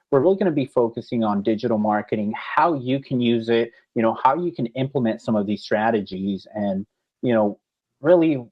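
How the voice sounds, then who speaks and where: A man's voice: medium pitch, dull audio. A man in his twenties co-hosting a podcast, upbeat and talking straight to viewers, with a lot of "you know". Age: 20s. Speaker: podcast co-host. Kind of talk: casual podcast chat.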